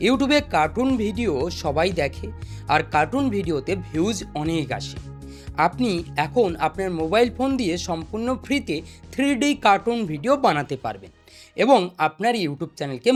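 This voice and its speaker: A young man presenting a step-by-step spoken tutorial. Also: high voice. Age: young adult. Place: small indoor desk studio. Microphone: desk microphone.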